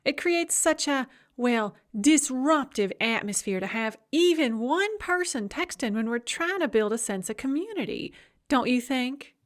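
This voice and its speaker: A cloying college administrator croons every sentence in a bright, high sing-song, as a woman narrator puts her on. Her sweetness is plainly fake and her tone talks down to everyone. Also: expressive, unhurried. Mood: sugary. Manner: sing-song, sugary, condescending.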